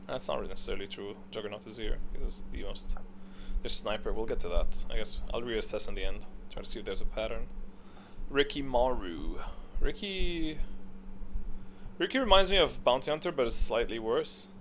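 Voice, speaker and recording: A man's voice: medium pitch, lively intonation, moderate pace, a little hiss or hum. A man giving casual, unscripted gaming commentary, thinking aloud in an opinionated, rambling way. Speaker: video game commentator.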